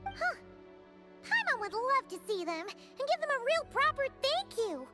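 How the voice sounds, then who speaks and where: Super high squeaky little fairy game sidekick, peppy n childlike, really bouncy delivery. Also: female voice, big pitch swings, measured pace, heavily acted. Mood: earnest, cheerful. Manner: peppy, refers to herself in third person. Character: cheerful childlike small female fairy companion. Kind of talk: animated video game character acting. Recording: music behind the voice. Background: game music.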